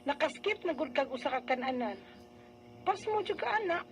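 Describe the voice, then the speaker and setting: A woman's voice: high voice, animated, normal speed, noisy background. A woman playing a concerned, scolding elder sister with a Filipino Bisaya accent in a radio drama. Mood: exasperated. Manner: nagging, admonishing.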